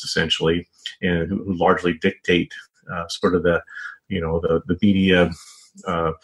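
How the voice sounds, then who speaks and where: A man speaking as an interview guest, a journalist and author, answering conversationally in a wordy, halting way full of verbal padding. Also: low-pitched, rough recording. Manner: hesitant, wordy, frequent "you know" and "sort of".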